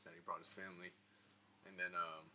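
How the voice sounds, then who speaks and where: Man, American accent, low bright forceful voice, casual interview guest, rambling informal delivery. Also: little pitch movement, brisk, a little hiss or hum. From United States (Florida and Georgia).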